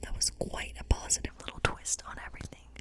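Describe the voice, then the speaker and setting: A woman whispering gently and breathily in an ASMR role play as a kindly witch who reads tarot. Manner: gentle, slow, soothing reassurance. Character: kindly witch reading tarot in her woodland cottage. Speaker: ASMR performer playing a witch.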